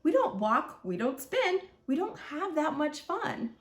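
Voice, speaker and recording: A woman's voice: sits high, very animated, measured pace, voice pushed up. Woman playing a stern, stubborn potted fern, roomy sound. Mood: firm, exasperated. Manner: firm, dismissive.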